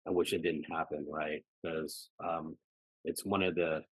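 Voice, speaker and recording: An American man with a deep voice talks in a personal, reflective conversation as a podcast guest. The sound is dry, with little room on it. He often closes his phrases with small tags asking for agreement. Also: a bit bright, dry recording, low-quality recording. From United States. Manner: frequently ends phrases seeking agreement with "right" and "you know".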